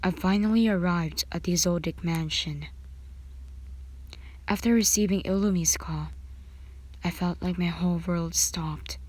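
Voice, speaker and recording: A woman narrating a scripted fan fiction story in ASMR style, breathy and often half-whispered. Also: lowish voice, unhurried, long pauses, darkish tone, dry recording.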